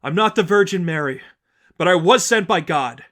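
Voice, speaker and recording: A man's voice: high voice, animated, normal speed, a bit bright, pitched above the performer's own voice. A man voicing a defiant, earnest young woman in a dramatic story reading, speaking emphatically as if rallying a crowd. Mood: firm conviction. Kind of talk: dramatic audiobook-style fan fiction reading.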